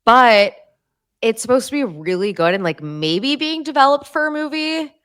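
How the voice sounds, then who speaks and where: A woman's voice: on the high side, lively intonation, moderate pace, a bit bright, clear, resonant tone. A woman chatting casually as a podcast guest from her home, bubbly and full of fillers. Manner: bubbly, filler-heavy with lots of likes. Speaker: podcast guest and co-host of another show. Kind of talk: casual chat. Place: at home with family in the room.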